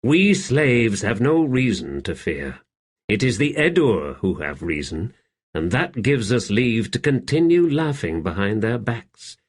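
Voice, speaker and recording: A man voicing a shrewd slave in an audiobook, calm, deferential and measured, speaking quietly. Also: mid-range voice, husky. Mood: calm, coaxing. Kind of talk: audiobook character acting.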